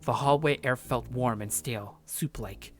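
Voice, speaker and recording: A man's voice: medium pitch, measured pace, close to an everyday voice. A man playing a paranoid, sardonic young man narrating his journal in a visual novel, curt and brooding. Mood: tense narration.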